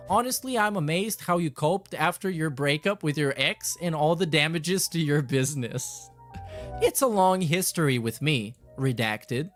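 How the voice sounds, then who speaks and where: A man hosting a casual livestream, reading messages aloud with irreverent, profane and sarcastic commentary. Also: fairly high pitch. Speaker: podcast host.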